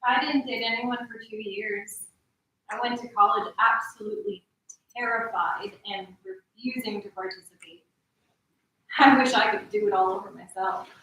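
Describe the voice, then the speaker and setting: A young actress in a staged reading playing a reassuring, candid friend. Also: female voice, fairly high pitch, fairly even intonation, moderate pace, sharp tone. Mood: candid, reflective. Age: young adult. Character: reassuring young woman, candid about past fear. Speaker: actor. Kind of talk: staged play reading, naturalistic drama. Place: large echoey indoor room. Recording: very echoey hall, distant mic.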